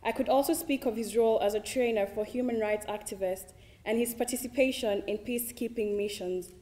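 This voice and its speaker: A young woman from Kenya with a Kenyan accent, a student giving a formal, measured introduction of a lecture speaker. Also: fairly high pitch, warm tone. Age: young adult. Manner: measured, read from a script.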